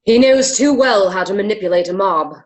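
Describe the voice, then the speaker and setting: A woman's voice: mid-range voice, a little fast, lightly acted. A woman playing a haughty, coolly political Roman senator in a radio play.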